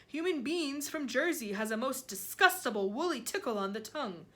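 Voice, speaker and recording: A woman voicing a huge, boastful but friendly storybook giant who speaks emphatically in drawn-out, muddled comic grammar. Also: sits high, animated, sharp tone, pitched above the performer's own voice. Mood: blustering, opinionated. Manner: emphatic, drawn-out, mangled words.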